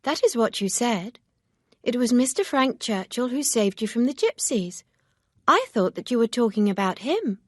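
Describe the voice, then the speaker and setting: A woman reader voicing a proud, earnest young woman, pitched up and emphatic. Her tone stays firm and sincere. The sound is close and dry. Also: very animated, normal speed, no echo.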